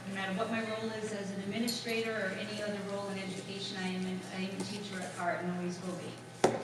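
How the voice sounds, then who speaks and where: A woman school superintendent introducing herself on a panel in a measured, plain way. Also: medium pitch, background noise. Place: school hall. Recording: echoey room, distant mic.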